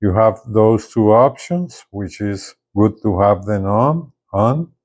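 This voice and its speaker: Male product reviewer, deep-ish voice, unhurried and halting, casual hands-on walkthrough. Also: expressive, darkish tone. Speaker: gadget reviewer presenting a CB radio.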